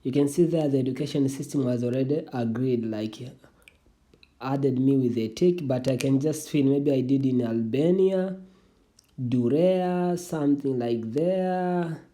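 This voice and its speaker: A young adult speaker whose first language is Swahili explains an online form step by step in a casual, halting way. The voice is deep-toned and mellow, sitting at a middle pitch. They restart sentences often and address listeners as family. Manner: halting, with frequent restarts, calls viewers "family". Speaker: tutorial vlogger. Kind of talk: casual screen walkthrough of an online application.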